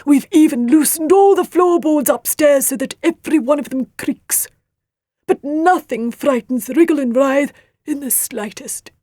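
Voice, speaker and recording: A woman's voice: high-pitched, a little slow, mellow, no echo, clean studio sound, pitched above the performer's own voice. Woman putting on a fussy, genteel grumbling monster parent.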